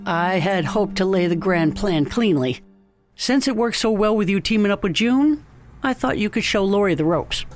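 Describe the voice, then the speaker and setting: An actor playing a measured, authoritative captain in an audio drama, speaking formally and deliberately. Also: male voice, high-pitched, animated, soft background music. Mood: apologetic.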